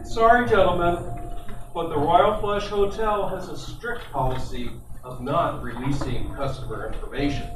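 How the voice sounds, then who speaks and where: A man's voice: fairly high pitch, moderate pace, music in the background, close to an everyday voice. A man playing a haughty, teasing hotel desk clerk with affected manners in an old time radio play. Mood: dismissive. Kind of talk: amateur old time radio comic acting. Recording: echoey, distant room with loud noise.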